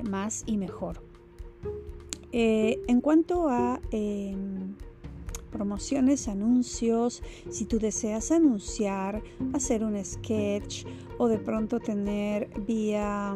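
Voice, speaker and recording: A woman hosting her own podcast, talking casually with many fillers and restarts as she thanks listeners and makes announcements. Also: mid-range voice. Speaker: podcast host.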